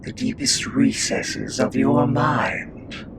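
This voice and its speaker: A calm, insistent guide from the depths of the mind acted by a man. He speaks slowly and formally at medium pitch, with very heavy ambient noise around him. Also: vocal fry. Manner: deliberate, formal, probing.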